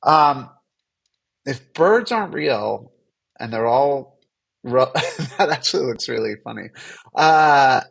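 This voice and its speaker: A man's voice: mid-range voice, lively intonation, takes it slowly, vocal fry, long pauses, a bit bright. Man hosting a casual livestream. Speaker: livestream host.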